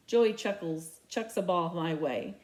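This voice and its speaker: A woman's voice: fairly low pitch, a little slow, a little mellow. A woman reading a storybook aloud as narration, telling the tale in the first person. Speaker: read-aloud reader.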